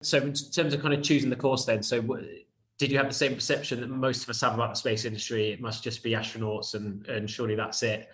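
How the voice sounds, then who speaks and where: A man's voice: mid-range voice, level tone, fast talker, a bit bright. A man hosting a podcast, asking loosely worded, rambling interview questions. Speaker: podcast host.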